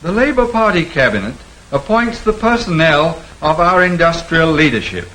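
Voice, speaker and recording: A man reading quotations aloud in a 1950s documentary, with a declamatory, expressive delivery. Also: fairly high pitch, normal speed, poor audio. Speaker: reader of quotations.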